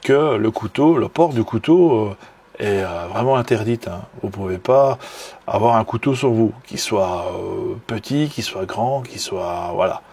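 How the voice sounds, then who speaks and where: A Belgian man with a Belgian French accent, talking casually and enthusiastically in a video review. His voice is low and gravelly with a husky edge. He hesitates often, filling pauses and restarting his sentences. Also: lively intonation, mellow. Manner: hesitant, many fillers and restarts; enthusiastic, admiring. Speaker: knife hobbyist vlogger.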